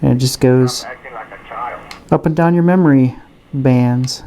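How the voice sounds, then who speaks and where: A man giving a casual, unscripted product review and demonstration in a halting, hesitant way. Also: medium pitch, warm tone. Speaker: gadget reviewer.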